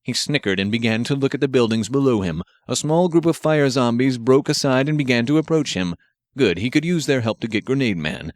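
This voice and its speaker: A man's voice: medium pitch, brisk, no echo, studio quality. A man narrating an action story as a scripted audiobook reading.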